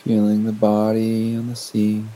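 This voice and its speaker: A man leading a guided meditation in a gentle, unhurried manner with long pauses. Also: on the low side, completely flat delivery. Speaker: meditation teacher.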